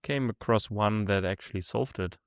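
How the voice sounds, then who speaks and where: A man hosting a conversational interview, picking his words haltingly as he forms questions. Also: on the low side, clear, resonant tone, no echo, studio quality. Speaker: podcast interviewer.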